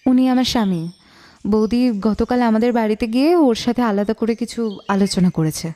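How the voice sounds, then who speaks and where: A woman playing an irritated, sharp-tongued married woman in an audio detective drama, curt, scornful and suspicious. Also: fairly high pitch, a little fast, natural voice. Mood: guarded, irritated.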